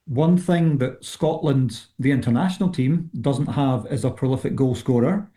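A man interviews a footballer, pressing him with direct, probing questions. His voice is warm and mellow at an ordinary pitch. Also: normal speed.

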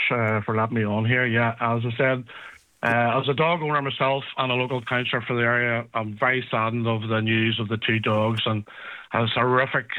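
A man, a local councillor on a radio phone-in, speaking earnestly with lots of fillers. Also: mid-range voice. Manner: earnest, repetitive, filler-heavy. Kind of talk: phone-in interview. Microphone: telephone. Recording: phone line.

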